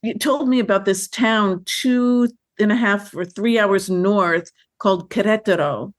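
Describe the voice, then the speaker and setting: A woman filmmaker being interviewed, telling stories about her work in a lively, chatty way with many fillers and restarts. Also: medium pitch, normal speed. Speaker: filmmaker guest. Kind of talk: conversational interview.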